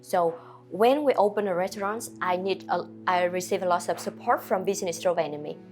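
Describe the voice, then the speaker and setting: A woman from Vietnam with a Vietnamese accent, a restaurant owner answering interview questions in halting, simple non-native English with many fillers. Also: fairly low pitch, darkish tone, soft background music.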